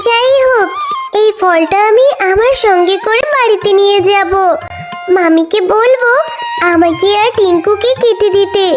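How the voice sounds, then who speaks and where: Tiny sweet sad fox girl for a kids cartoon, super high childlike voice, music behind. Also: unhurried. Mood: wonder, delight. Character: gentle, sorrowful young orphan fox girl. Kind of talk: Bangla children's cartoon dubbing.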